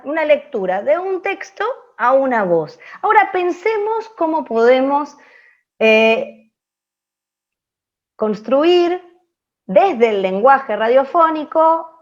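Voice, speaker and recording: A woman with a Rioplatense Argentine accent and a fairly high voice gives an explanatory class. She speaks didactically, often seeking agreement with short tag questions. The audio is slightly dull and lo-fi. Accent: Rioplatense Argentine Spanish. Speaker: radio teacher.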